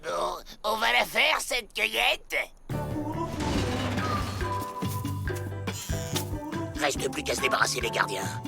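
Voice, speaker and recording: A man voicing a short-tempered, scheming outlaw gang leader in an animated series, bossy and irritable. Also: high voice, moderate pace, creaky, exaggerated voice. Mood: grumpy. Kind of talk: French animated series dub. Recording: music behind the voice.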